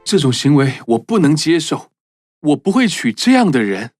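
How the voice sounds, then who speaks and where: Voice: mid-range voice, big pitch swings, normal speed, darkish tone, soft background music. An earnest young prince. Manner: polite and principled. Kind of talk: cartoon dubbing.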